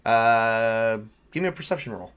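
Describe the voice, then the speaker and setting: A man's voice: medium pitch, expressive, moderate pace, a bit bright, clean tone. A man casually narrating a tabletop role-playing game as game master, improvising and thinking aloud with some hesitation.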